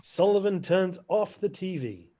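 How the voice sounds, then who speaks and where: A man narrating stage directions in a scripted table read, matter-of-fact and a little halting. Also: high voice, animated, warm tone.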